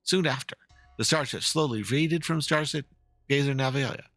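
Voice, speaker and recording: A man's voice: medium pitch, moderate pace, a bit bright, dry recording, faint music behind, close to an everyday voice. A man voicing a dramatic storyteller who recounts a spooky legend from a fantasy game in a theatrical way. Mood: eerie, suspenseful.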